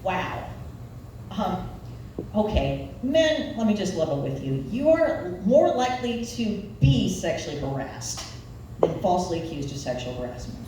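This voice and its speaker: Recorded from a distance in a very echoey venue, a woman in her forties delivers a stand-up routine with a Nebraska Midwestern accent. She takes her time, with wry, self-deprecating storytelling and pitch that moves around freely. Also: very animated, unhurried. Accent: Nebraska Midwestern American. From Nebraska, United States. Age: 40s. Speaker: stand-up comedian. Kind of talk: live stand-up comedy routine. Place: live comedy venue. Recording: echoey room, distant mic, background noise.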